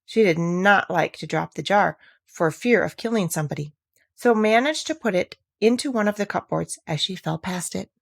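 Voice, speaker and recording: A woman reading a children's story aloud as expressive narration. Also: lowish voice, moderate pace, creaky, no echo, studio quality. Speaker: audiobook reader.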